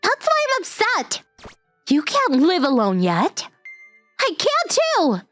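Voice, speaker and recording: Girl voice by a woman prickly young stepsister in a manga dub, high and swoopy, huffy, easily flustered. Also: huge pitch swings, a bit bright, soft background music, full character voice. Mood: huffy, embarrassed. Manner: huffy, defensive, slow-paced. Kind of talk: manga dub character acting.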